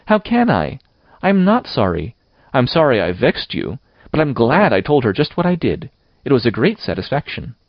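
A passionate young girl from a storybook, acted out by a man, stubborn and wounded. The voice is lifted high and swings about with tearful, defiant drama. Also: big pitch swings, normal speed, no room sound, dull audio. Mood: mournful, stubborn.

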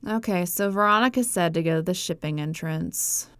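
A woman voicing a helpful, matter-of-fact office receptionist in an audiobook, giving measured instructions. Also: fairly low pitch, no echo, studio quality, pitched above the performer's own voice, close to an everyday voice.

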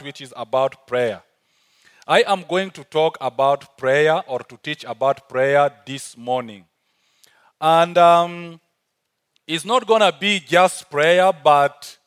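A guest preacher with a South Sudanese accent addresses a church congregation. His voice sits somewhat high for a man. He is earnest and repeats key phrases for emphasis. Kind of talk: sermon.

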